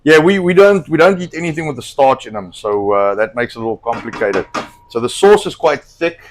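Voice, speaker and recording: A middle-aged man rambles through a cooking stream in loose, profane chat. His voice carries a slight hoarseness from a cold, and his delivery is blunt and easygoing, sitting at an ordinary male pitch. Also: lively intonation, brisk, a little hiss or hum. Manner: rambling, blunt, swears a lot. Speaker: host cooking on a live stream.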